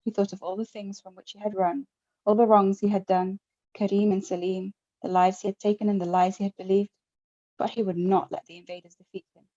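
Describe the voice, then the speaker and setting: Mellow-voiced woman author, lo-fi audio. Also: medium pitch, level tone. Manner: measured reading, then halting, thoughtful speech. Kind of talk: reading from her novel, then interview answers.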